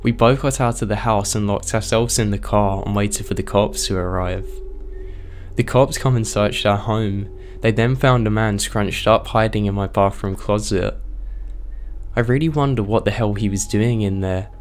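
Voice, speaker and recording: A man with a strained, hoarse voice, reading true horror stories aloud as the host of his channel at a steady pace. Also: on the low side, moderate pace. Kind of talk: scripted horror story narration.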